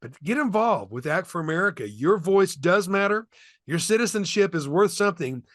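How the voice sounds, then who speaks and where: A man's voice: sits high, big pitch swings, moderate pace, fairly bright tone, dry recording, studio quality. A man co-hosting a talk show, making an upbeat, salesy fundraising appeal straight to viewers. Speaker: co-host.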